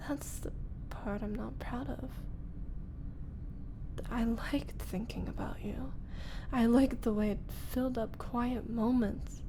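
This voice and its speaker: A woman performs a lovesick young woman making a shy, obsessive late-night confession for an ASMR roleplay. Her voice is fairly high and very slow, halting and intimate, with a creaky edge. It often sinks into a soft whisper. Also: fairly even intonation, noisy background. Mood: hesitant confession. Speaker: voice actor.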